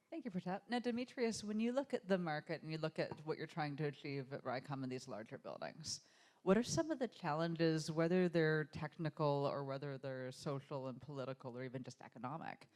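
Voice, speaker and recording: Panel moderator, mid-range voice, long multi-part questions, brisk. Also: medium pitch, expressive, a little mellow. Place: university event room.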